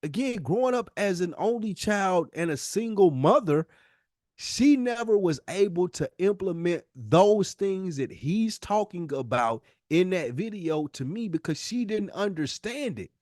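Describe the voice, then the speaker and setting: A man's voice: high-pitched, expressive, unhurried, darkish tone, no room sound, studio quality. A man with an American accent speaking casually and emphatically as a podcast host, using slang and swearing. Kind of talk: casual, impassioned discussion.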